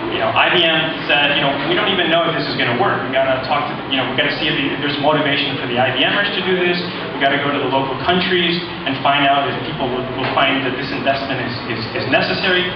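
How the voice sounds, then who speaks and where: Man in an echoing hall. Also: medium pitch. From Puerto Rico. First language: Spanish. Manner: halting, friendly, with many pauses. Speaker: visiting corporate volunteer presenter. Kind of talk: informal slide presentation to an audience. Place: large echoing presentation room. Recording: echoey, distant, dull lo-fi, noisy.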